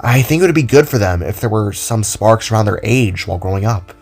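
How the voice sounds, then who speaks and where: A man's voice: lowish voice, expressive, a little fast, slightly rough, faint music behind. A man voicing a playful younger brother who talks in a casual, teasing way. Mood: eager.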